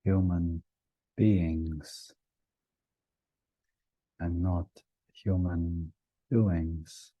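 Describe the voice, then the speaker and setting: A man leading a guided meditation in a gentle, hushed manner, leaving long pauses between short phrases. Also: low-pitched, fairly even intonation, no room sound, highs cut off.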